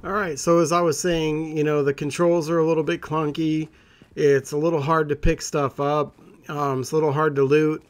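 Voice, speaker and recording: A man's voice: fairly high pitch, flat delivery, normal speed, smooth. A man hosting a gaming channel, chatting casually to viewers in an informal, rambling, conversational way.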